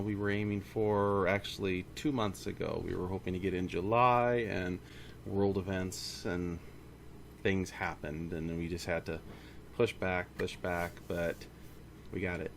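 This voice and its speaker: Male indie developer, low fry-edged voice, informal rambling update delivery, faint noise behind. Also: steady tone, unhurried. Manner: informal, rambling, self-correcting. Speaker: indie game studio founder. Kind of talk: casual monthly studio update to camera or mic.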